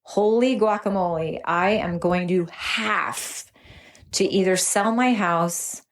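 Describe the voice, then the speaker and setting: Woman guest chatting in an interview, mid pitch, earnest and gushy, affirming. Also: slow pace. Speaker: interview guest, recovery program veteran. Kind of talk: conversational personal sharing.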